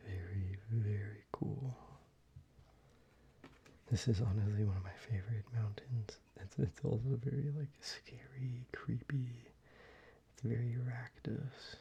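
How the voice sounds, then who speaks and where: A young man talking softly in a half whisper, casual and rambling, as an ASMR creator. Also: medium pitch, fairly even intonation, unhurried, breathy edge. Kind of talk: soft-spoken ASMR show-and-tell. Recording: slightly muffled, with faint ambient noise.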